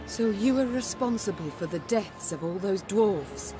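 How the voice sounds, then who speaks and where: A stern queen from a fantasy video game, voiced by an actress. She speaks in formal, archaic phrasing with imperious command. Music plays clearly behind her. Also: medium pitch, lively intonation, unhurried, lightly acted. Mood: stern, accusing. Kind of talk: scripted video game voice acting.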